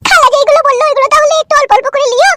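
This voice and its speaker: A man voicing a coy, complaining young newlywed village wife in a comic cartoon, with a rural West Bengal accent and a pitched-up voice. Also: rapid-fire, noisy background. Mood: chatty. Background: music.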